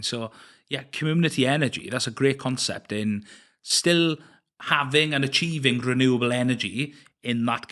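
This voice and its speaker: A man hosting a podcast interview, enthusiastic but halting, often restarting his sentences. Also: medium pitch, fairly bright tone. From Wales. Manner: hesitant, many restarts and fillers, enthusiastic. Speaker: podcast host. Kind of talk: casual interview chat.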